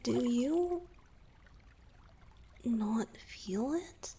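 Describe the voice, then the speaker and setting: A woman voicing a gentle young merman boyfriend in a romantic ASMR roleplay, soft-spoken and hesitant, often slipping into breathy whispers. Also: a little high, lively intonation, very slow, a little hiss or hum, heavily acted. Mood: hesitant, coy.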